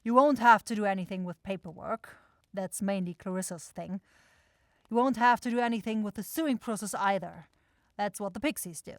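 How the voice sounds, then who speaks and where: A woman's voice: medium pitch, moderate pace, dry recording, lightly acted. A bossy demon woman runs her shop with grumbling sarcasm, acted by a woman. She is brusque and exasperated, in the manner of a fantasy audio drama. The recording is close and free of room sound. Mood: exasperated, explaining. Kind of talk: fantasy audio roleplay acting.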